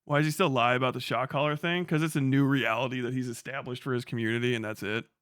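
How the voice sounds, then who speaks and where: A man's voice: medium pitch, quick pace, clear, resonant tone, dry recording, clean studio sound. A man streaming live, chatting casually, swearing constantly and breaking into laughter. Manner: profane, excitable, laughs a lot. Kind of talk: casual livestream chat.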